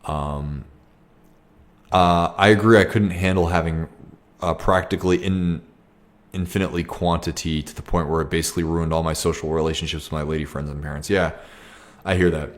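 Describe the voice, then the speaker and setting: A young man hosting a casual livestream Q&A, talking reflectively and haltingly with many ums and restarts. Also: low-pitched, fairly even intonation. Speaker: livestream host. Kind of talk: casual livestream Q&A, reading comments.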